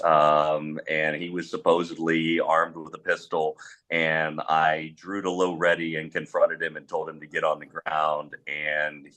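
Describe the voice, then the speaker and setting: Very deep-voiced man, bright, forceful tone. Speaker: panelist, former police officer. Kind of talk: panel discussion, speaking from experience.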